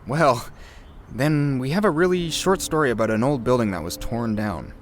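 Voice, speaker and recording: A man's voice: mid-range voice, animated, moderate pace, a little hiss or hum, close to an everyday voice. A man playing a slangy, joking young sound man of a ghost show in an audio drama, casual and teasing. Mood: amused, doubtful. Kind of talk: horror audio drama acting.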